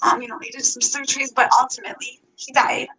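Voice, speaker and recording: A woman's voice: high-pitched, level tone, quick pace, bright, forceful tone, breathy edge, poor audio. A woman telling a long personal story, rambling and adding details as she goes. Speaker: vlogger telling her story.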